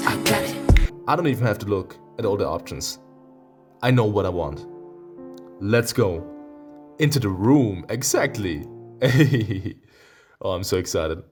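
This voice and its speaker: A man playing a visual novel, reading its text aloud and breaking into excitable, playful reactions. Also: fairly low pitch, slow pace, pauses often. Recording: music behind the voice. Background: game music with plucked guitar.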